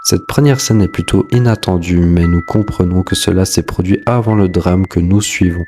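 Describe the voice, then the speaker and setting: A man's voice: sits low, moderate pace, mellow, faint music behind. A man giving scripted explanatory narration as a video host.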